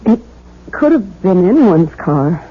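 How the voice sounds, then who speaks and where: Fragile, anxious young bride. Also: female voice, on the low side, big pitch swings, takes it slowly. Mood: bewildered. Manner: hesitant, faltering. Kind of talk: 1970s radio drama acting. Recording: dull, faintly noisy 1970s radio broadcast.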